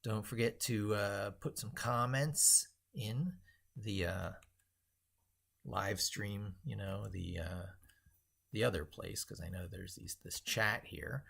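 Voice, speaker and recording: A man with a deep-pitched, husky voice full of creak hosts a casual tech livestream. He thinks aloud as he goes, rambling and repeating phrases for emphasis. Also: slow pace, fairly bright tone. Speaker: livestream host.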